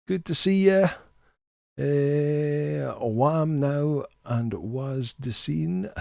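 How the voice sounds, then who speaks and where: A man's voice: mid-range voice, darkish tone, no room sound. Chatty man streaming, dry sound. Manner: chatty, friendly, repeats words when starting sentences. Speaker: game streamer. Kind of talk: casual live stream chat, reading chat names.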